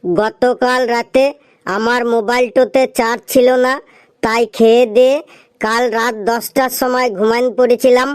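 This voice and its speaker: A woman's voice: fairly high pitch, fairly even intonation, measured pace, clean tone, dry recording, clean studio sound, natural voice. A woman voicing a cheeky, excuse-making school pupil in a comedy cartoon, with a meekly polite manner.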